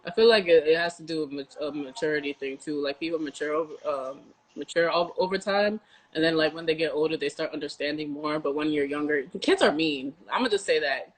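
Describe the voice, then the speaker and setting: A woman's voice: low-pitched, brisk, rough recording. A young woman with an American accent, hosting a casual interview in a blunt, informal way. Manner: blunt, informal, swears freely. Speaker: podcast host, interviewer.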